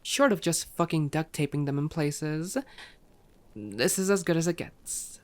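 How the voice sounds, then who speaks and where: A woman's voice: sits low, lively intonation, a little slow. A woman voicing a playful, cocky female vampire in flirty, sardonic ASMR roleplay. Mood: wry banter. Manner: flirty, sardonic, foul-mouthed banter.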